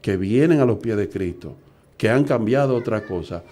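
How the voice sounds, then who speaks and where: A man's voice: lowish voice, expressive, normal speed, dark tone. A middle-aged man, preaching earnestly and asking rhetorical questions. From Dominican Republic. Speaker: evangelist preacher. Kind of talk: preaching talk.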